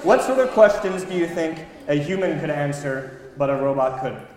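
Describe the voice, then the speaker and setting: High-pitched man presenting in an echoey hall. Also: normal speed. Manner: prompting, animated, playful. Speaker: presenter running a live demonstration. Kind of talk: lively interactive presentation. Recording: echoey, reverberant lecture hall.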